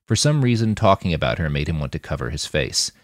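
A man's voice: a bass voice, big pitch swings, fast talker, dry recording, clean studio sound. A man reading scripted fiction as an audiobook narrator.

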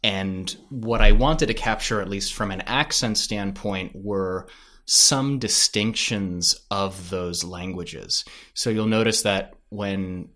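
A man talks as an interview guest on a podcast. His voice is low, and he explains things in a hesitant, reflective manner. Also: slow, pushed and bright.

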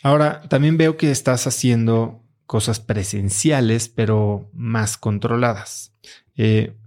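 A man's voice: mid-range voice, fairly even intonation, slow pace, a little mellow. A man interviewing a guest, asking curious, probing questions.

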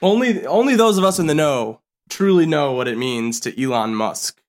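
A man's voice: a little high, animated, normal speed. Goofy, laughing man from Texas. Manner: goofy, self-mocking, laughs often. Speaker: podcast co-host. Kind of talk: casual comedic banter.

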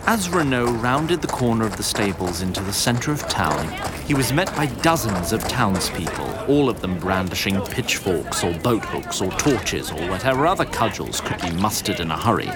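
Male narrator for audio fiction: lowish pitch, highly varied melody, theatrical and wry, prominent music underneath. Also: big pitch swings, normal speed. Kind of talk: scripted storytelling narration.

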